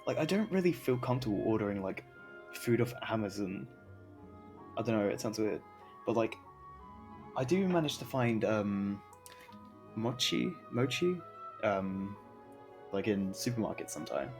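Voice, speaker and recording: Male streamer, informal rambling gameplay chat, mid pitch, noticeable fry, faint music behind. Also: animated, normal speed, pauses often, a little mellow. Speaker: game streamer. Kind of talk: casual live gameplay commentary.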